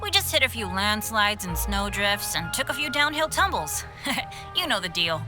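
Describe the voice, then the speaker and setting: An actress playing a chatty young adventurer in a video game, casual and nonchalant. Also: female voice, mid-range voice, very animated, normal speed, music in the background, natural voice. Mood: breezy, nonchalant. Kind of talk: video game cutscene acting.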